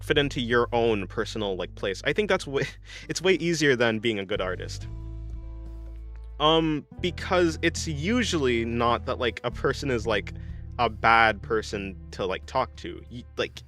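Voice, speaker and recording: A man's voice: medium pitch, a bit bright. A young man streaming while he draws, reading chat aloud and answering in a rambling, casual way full of fillers and restarts. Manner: rambling, many restarts and fillers, laughs often.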